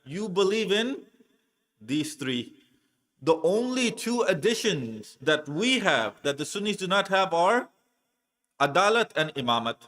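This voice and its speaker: Higher-voiced man preaching emphatically. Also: sing-song, fairly bright tone. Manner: emphatic, deliberate, repetitive oratory. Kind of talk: religious lecture, rhetorical questions.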